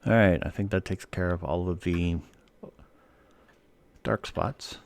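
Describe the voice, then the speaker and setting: Chill guy streaming a modded game, lowish voice, jokey about himself. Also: slow pace, vocal fry. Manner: relaxed, self-mocking, narrates his own actions. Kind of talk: casual live-stream chat while playing.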